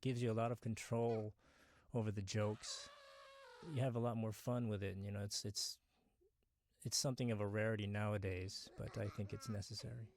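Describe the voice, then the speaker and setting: A man's voice: fairly low pitch, flat delivery, a little fast, darkish tone. A middle-aged man, an animator, giving casual audio commentary in an earnest, explanatory way.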